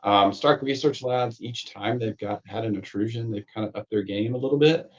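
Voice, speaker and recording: A man, the course author, walking through a technical course in a relaxed, rambling way with lots of fillers and restarts, his voice a little raspy. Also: medium pitch, moderate pace, highs cut off. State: slightly raspy voice, needs a cough drop. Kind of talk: conversational technical course walkthrough.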